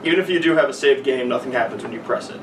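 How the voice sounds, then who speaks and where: A man's voice: mid-range voice, very fast. Young man reading in a noisy, echoey room. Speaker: podcast co-host. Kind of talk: casual chat and reading aloud with asides. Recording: roomy sound, distant mic, noticeable noise.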